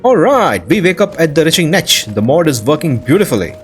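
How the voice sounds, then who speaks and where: A man with a slightly high voice narrates gameplay for his video. He is casual and enthusiastic, working from a loose script, with music playing softly behind him. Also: sing-song, a little fast. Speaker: video host. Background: background music.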